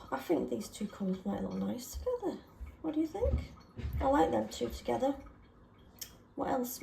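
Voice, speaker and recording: A woman chatting casually and self-deprecatingly while testing paint colours, slightly hoarse from a sore throat. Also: on the high side, dark tone, echoey room, slight background noise, poor audio. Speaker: craft vlogger.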